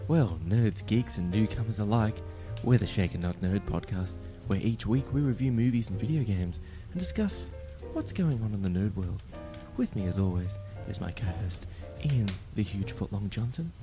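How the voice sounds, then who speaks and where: A man's voice: lowish voice, animated, normal speed, music in the background. A man hosting a scripted, comic podcast promo. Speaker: podcast host.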